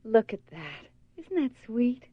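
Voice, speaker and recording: A woman's voice: on the high side, sing-song, slow pace, slightly rough, exaggerated voice. A woman playing an obsessed, eerily contented wife with a possessive edge in a radio horror play. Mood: dreamy, unbothered. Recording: dull lo-fi, dry radio recording.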